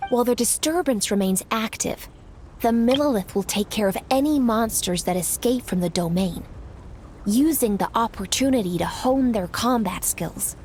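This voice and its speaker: A woman's voice: fairly high pitch, normal speed, darkish tone, slight background noise, natural voice. A woman playing a composed, encouraging military officer in a video game, speaking formally and articulately. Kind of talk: video game dialogue acting.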